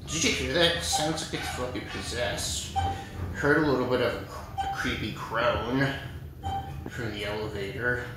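A man talks casually behind a handheld camera, offhand and excitable, with the odd swear word. His voice sits at an ordinary middle pitch. The sound bounces around hard surfaces, with loud background noise behind him. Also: reverberant. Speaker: elevator fan vlogger. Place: parking garage elevators and decks, indoors and out.

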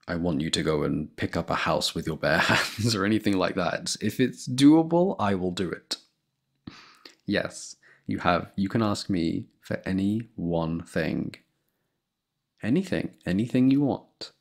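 A man's voice: sits low, expressive, measured pace, vocal fry. A young man in a boyfriend roleplay, talking to a girlfriend in a playful, laughing, affectionate way, close to the mic.

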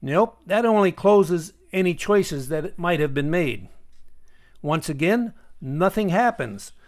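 A man with a slightly high voice presents a software tutorial. He explains each step in a measured, instructional way, as screencast narration. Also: animated.

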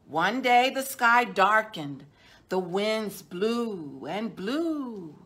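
A middle-aged woman, a children's storytime host, reading a picture book aloud in a measured, deliberate way with drawn-out words. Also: mid-range voice, big pitch swings, a bit bright. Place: indoors, small decorated room.

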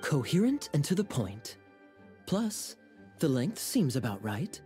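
A man voicing a suave young knight captain in a game, sly and smoothly amused. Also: on the high side, big pitch swings, unhurried, warm tone, faint music behind. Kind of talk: game voice acting.

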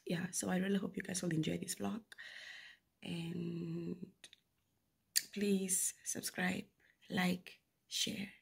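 A young woman from Namibia vlogging at home, talking softly and casually into a close phone camera, her voice deep and rough from a flu. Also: slow, pauses often, a little hiss or hum. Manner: soft-spoken, often near a whisper, relaxed. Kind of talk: casual vlog chat. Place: bedroom indoors.

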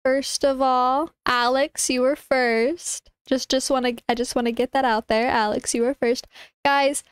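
A woman's voice: high-pitched, fairly even intonation, unhurried, clean tone, dry recording, clean studio sound. A young woman streaming, chatting casually and greeting viewers one by one, her voice stuffy and congested from a blocked nose, tripping over her words now and then.

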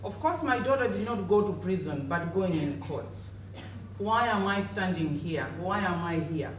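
A woman's voice: fairly low pitch, animated, moderate pace. Woman, Malawian accent, emphatic public speaking, rhetorical, roomy sound, slightly distant. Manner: emphatic, rhetorical, short direct phrases. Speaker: panel speaker, community health advocate. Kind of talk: personal public speech addressing an audience. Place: conference room panel table, indoors. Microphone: handheld microphone, distant camera. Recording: echoey room, distant, faint noise.

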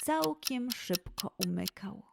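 A woman narrating a scripted children's story with an expressive, dramatised delivery. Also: fairly high pitch, slow pace, creaky, noisy background.

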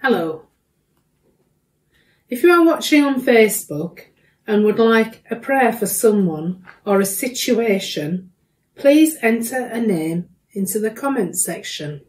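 A middle-aged woman reads prayers aloud to a congregation in measured short phrases, pausing between them. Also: fairly high pitch, animated, darkish tone. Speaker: church prayer leader. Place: small domestic room, indoors. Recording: slightly distant microphone.

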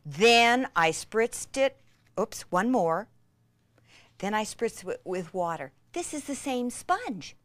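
Female craft demonstrator, breathlessly enthusiastic, unhurried delivery, close dry sound. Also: medium pitch, no echo. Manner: gushing, breathlessly excited, with frequent gasps and exclamations.

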